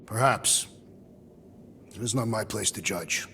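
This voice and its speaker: An actor playing a solemn, proud alien warrior in a video game cutscene, speaking formally and gravely. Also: male voice, on the low side, unhurried, pushed and bright, big-room sound, a little hiss or hum, full character voice. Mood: grim. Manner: formal, grave, literal-minded diction.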